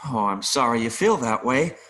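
A man playing an adult male mayfly in an audio play, nervous and earnest, pleading haltingly with little buzzing sounds. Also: medium pitch, expressive, fairly bright tone, lightly acted. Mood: patient, explaining. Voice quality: buzzing interjections between phrases.

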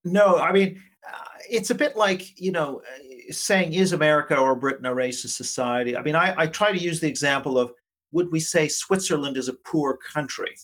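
A man from Canada speaking as an academic interview guest, explaining conversationally in a measured, qualifying way with frequent asides. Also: a little high, fairly bright tone.

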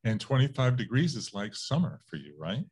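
A man's voice: mid-range voice, big pitch swings, brisk, darkish tone, breathy edge. A man hosting an interview, asking casual questions with a joking, teasing edge.

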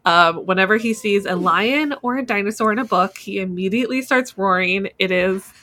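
A woman's voice: medium pitch, moderate pace, bright, forceful tone. A woman co-host talking casually in a group podcast conversation.

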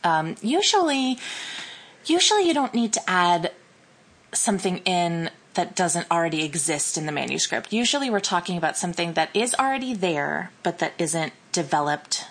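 A woman co-hosts a podcast in a casual conversational tone, her voice sitting notably low. She works through her explanations thoughtfully, often circling back and restarting her phrases. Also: sing-song, moderate pace, a bit bright. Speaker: podcast co-host.

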